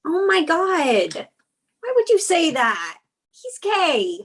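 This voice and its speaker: A woman's voice: extremely high pitch, huge pitch swings, slow, exaggerated voice. A woman playing an exasperated girlfriend in a comedy table read, cutting, blunt and dismissive. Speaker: actor in a screenplay table read.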